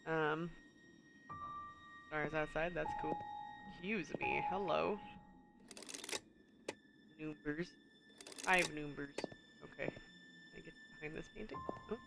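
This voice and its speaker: A woman's voice: sits low, takes it slowly, gravelly, long pauses, heavy background noise. A woman with a stuffy nose streaming a puzzle game, thinking aloud and reading in-game text in a casual way. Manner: muses aloud, reads in-game text, chuckles often. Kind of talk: casual gameplay commentary.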